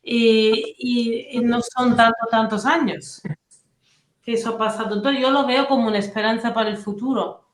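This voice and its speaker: A woman from Naples with an Italian accent, a native Italian speaker, telling personal stories as an activist guest in a casual interview, animated and full of restarts. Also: fairly high pitch, normal speed, slight background noise. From Italy, Naples. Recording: roomy echoey room.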